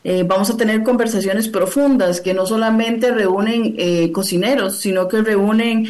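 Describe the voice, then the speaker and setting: A woman from Costa Rica speaks as an interview guest over a video call. She sounds friendly and fluent but fills her pauses often. Her voice sits at an ordinary middle pitch. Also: fairly even intonation, darkish tone. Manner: friendly, fluent with many fillers. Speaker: guest, gastronomy researcher.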